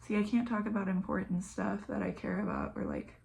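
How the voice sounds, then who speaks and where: A young woman with a Southern American accent, chatting flatly and casually while doing her makeup, sometimes dropping to a mumble or whisper. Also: medium pitch, a little fast, gravelly, slight background noise. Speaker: beauty vlogger. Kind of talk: casual get-ready-with-me chat.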